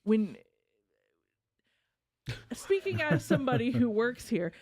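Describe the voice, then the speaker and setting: A woman's voice: a little high, sing-song, slow pace, a little mellow. A woman chatting casually as a panelist on a podcast. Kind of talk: casual chat.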